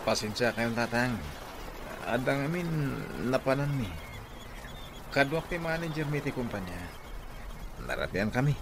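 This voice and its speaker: A Filipino actor playing an evasive, apologetic husband in a radio drama. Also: male voice, medium pitch, unhurried, long pauses, natural voice. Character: husband, evasive and apologetic, torn between wife and lover. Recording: noisy radio drama track with ambience.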